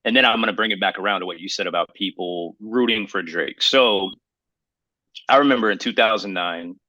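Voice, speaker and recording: Bright-voiced man telling rambling stories. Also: fairly low pitch, fairly quick, dry recording, poor audio. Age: 30s. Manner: rambling, emphatic storytelling with slangy phrasing. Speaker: podcast co-host. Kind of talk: casual podcast chat about hip hop.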